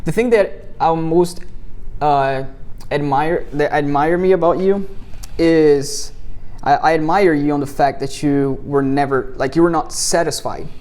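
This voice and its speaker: Male host, fairly high-pitched, encouraging, stumbles and repeats words at sentence starts. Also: fairly even intonation, unhurried, a little mellow. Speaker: interview host, business owner. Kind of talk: casual interview chat.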